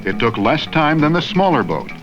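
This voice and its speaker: A man narrates an old classroom film in a slow, clear, teacherly way, his pitch rising and falling with plenty of movement. The sound is dull and muffled, like an old film soundtrack. Soft music runs faintly underneath. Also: very animated. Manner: slow, clear, teacherly delivery with questions to the viewer. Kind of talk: scripted educational narration.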